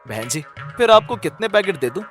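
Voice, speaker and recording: Man acting a lively, high-toned coaxing village farmer. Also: fairly quick, faint music behind. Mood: polite. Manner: coaxing, reassuring. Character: good-humoured, affectionate village farmer turned papad hawker.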